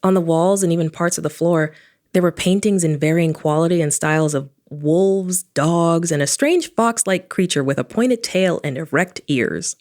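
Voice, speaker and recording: A woman's voice: on the low side, moderate pace, darkish tone, close to an everyday voice. An actress playing a sardonic woman engineer who investigates the paranormal, narrating an audio drama in an informal, irreverent way. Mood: descriptive. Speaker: protagonist narrator.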